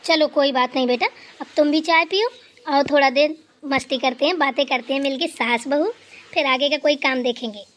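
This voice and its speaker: A woman's voice: high voice, normal speed, fairly bright tone. A woman voicing an elderly mother-in-law who rambles through stories and scolds playfully. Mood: relaxed. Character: elderly mother-in-law, chatty, teasing, fond.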